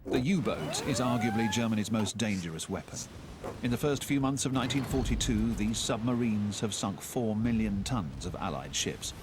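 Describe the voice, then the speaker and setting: A man narrating a historical documentary in a measured, solemn scripted delivery, recorded as a studio voice-over. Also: fairly low pitch, expressive, noisy background. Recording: narration under loud music score.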